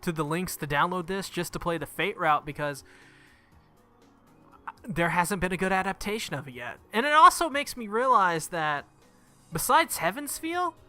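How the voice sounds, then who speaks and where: Guy doing a visual novel playthrough, really bright sharp voice, kinda high, game music going behind him. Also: expressive, normal speed. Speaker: gaming video creator. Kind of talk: reading visual novel text aloud, then casual commentary.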